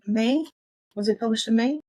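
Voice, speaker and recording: A woman's voice: on the high side, normal speed, dark tone, dry recording. A woman co-hosting a podcast, chatting casually about books in a conversational style. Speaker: podcast co-host. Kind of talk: casual book discussion chat.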